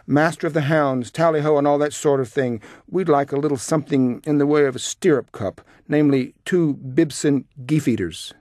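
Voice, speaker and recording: A man's voice: lowish voice, expressive, moderate pace, few pauses, darkish tone, no room sound, clean studio sound. A man voicing a boisterous, middle-aged father in a short story, pompous and sarcastic, barking orders. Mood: loud, imperious. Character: loud, boisterous, imperious middle-aged father demanding service.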